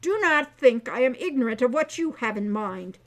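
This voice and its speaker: A woman's voice: on the high side, lively intonation, moderate pace, natural voice. A woman voicing a stern, angry centaur father in a storybook, with formal, scolding speech. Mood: furious.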